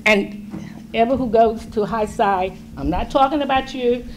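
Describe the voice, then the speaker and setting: A woman's voice: fairly high pitch, measured pace, slight background noise. A middle-aged woman speaking at a school board public forum, earnest and pleading, stressing words as she goes. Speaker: public commenter. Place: large school meeting room. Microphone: standing mic at a distance.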